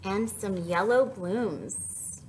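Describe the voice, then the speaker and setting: A woman's voice: mid-range voice, very slow, a little hiss or hum, low-quality recording. A young woman hosting a children's story time, reading and chatting to young children in a sing-song, questioning way. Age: young adult. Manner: animated, sing-song, prompting listeners with questions.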